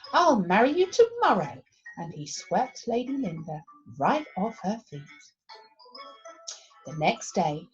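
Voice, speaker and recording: A woman reads a children's story in an expressive storytelling style. Her pitch is very lively and her pace unhurried. The recording is a little dull, with music behind her. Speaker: primary school story reader.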